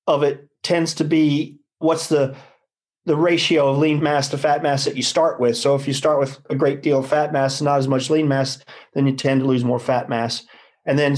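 Higher-pitched man explaining science. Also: a little mellow. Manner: rambling, self-correcting, explanatory. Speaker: nutrition scientist. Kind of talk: conversational expert discussion.

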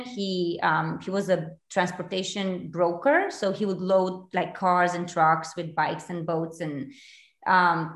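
A woman in her forties from Romania, a realtor guest in a casual interview, chatty with many fillers and restarts. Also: on the low side. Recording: echoey room.

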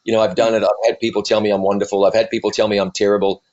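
A middle-aged man with a New Zealand accent softened by years in the US, telling his story in an animated, joking way. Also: fairly low pitch, racing, dull audio. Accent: New Zealand, softened by decades in the US. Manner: animated, self-deprecating, jokey. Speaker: evangelist and TV presenter. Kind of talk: storytelling interview answers.

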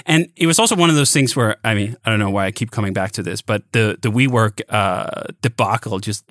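A man's voice: on the low side, a little fast, a bit bright, no echo, clean studio sound. A man from Denmark, hosting a casual podcast conversation, frank and emphatic, swearing freely and restarting phrases. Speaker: podcast host.

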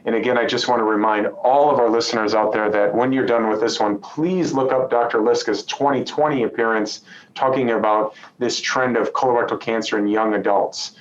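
A man's voice: mid-range voice, steady tone. Middle-aged male podcast host, roomy sound. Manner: friendly, conversational, uses "you know" and quick asides. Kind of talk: hosting a medical podcast interview. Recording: roomy, slightly dull audio with faint ambience.

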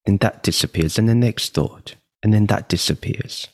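A man leading a guided meditation in a calm, measured, deliberate manner, leaving long pauses between phrases. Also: lowish voice, slightly rough, dry recording, clean studio sound.

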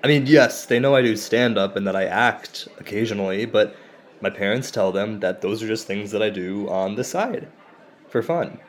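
An actor playing an insecure, self-deprecating comedian in a naturalistic audio drama, halting, confessional and profane. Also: male voice, on the low side, natural voice. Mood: sheepish, confessing. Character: insecure, self-deprecating Indian-American stand-up comedian, torn by shame.

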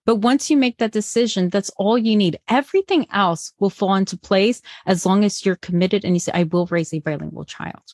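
A woman from Colombia talks as a podcast guest about raising bilingual children. She speaks in an earnest, encouraging way and often restarts her sentences. Her voice sits at a middling pitch, and the recording is dry and close. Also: brisk, few pauses, darkish tone, dry recording. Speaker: guest, bilingual parenting educator. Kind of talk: podcast interview answers.

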